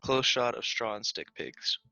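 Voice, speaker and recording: A man's voice: medium pitch, expressive, measured pace, gravelly, pushed and bright, no echo. A man reading screenplay shot directions aloud at a table read, over muffled video-call audio.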